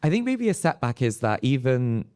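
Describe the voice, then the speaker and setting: A man answering thoughtfully and haltingly as an academic on a live panel, with some self-deprecation. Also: medium pitch, sing-song, darkish tone, clear, resonant tone.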